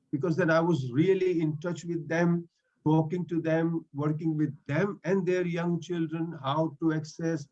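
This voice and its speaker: A man's voice: a little high, darkish tone, lo-fi sound. A man in his fifties whose first language is Swahili, speaking earnestly in non-native English as a mental health link worker in an online meeting. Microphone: video call.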